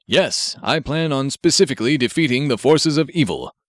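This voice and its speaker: A man's voice: medium pitch, expressive, moderate pace, no echo, studio quality, close to an everyday voice. A man voicing a pompous, earnest superhero who speaks in formal, declamatory sentences with stubborn optimism, in an audiobook. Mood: proudly confident.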